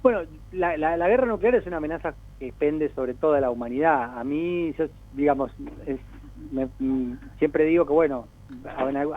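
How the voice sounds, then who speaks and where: An Argentine man with a somewhat high voice speaks over a thin, band-limited phone line. He explains world affairs off the cuff as an interview guest, in a discursive way, acting out imagined quotes along the way. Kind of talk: spontaneous explanatory commentary. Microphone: telephone call. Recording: narrow tinny phone line.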